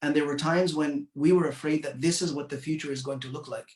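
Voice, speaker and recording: A man from Canada giving an earnest, measured commemorative speech, pausing often with fillers. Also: fairly high pitch, fairly even intonation, echoey room, recorded from a distance. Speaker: community speaker.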